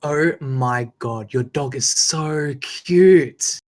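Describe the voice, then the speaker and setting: A woman's voice: sits low, drawn-out words, darkish tone. A woman playing a gushing, dog-loving receptionist in a table read of a film script.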